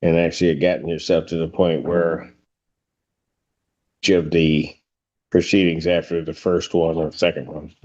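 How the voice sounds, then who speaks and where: Very low-pitched male judge. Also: flat delivery, normal speed, long pauses, a little mellow. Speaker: judge presiding over court. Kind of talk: courtroom proceedings. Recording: dull lo-fi courtroom video audio.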